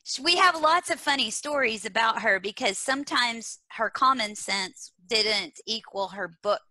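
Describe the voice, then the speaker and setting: Woman with a Texas drawl, bright and forceful, inspirational interview guest. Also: fairly high pitch, expressive, unhurried, no echo, poor audio. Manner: earnest, motivational, repeats key phrases. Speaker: guest speaker on leadership. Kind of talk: conversational interview.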